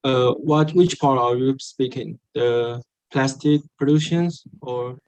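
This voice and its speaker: Young Taiwanese student delegate, halting and unsure, slow, on a video call. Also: male voice, medium pitch, a little mellow, clean tone, rough recording. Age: young adult. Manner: hesitant, halting non-native English.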